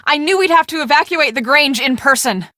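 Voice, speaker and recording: A woman playing an earnest, take-charge storm-chasing project manager in a video game, speaking in a brisk, businesslike way. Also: high voice, expressive, pushed and bright, no echo, studio quality. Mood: urgent, serious.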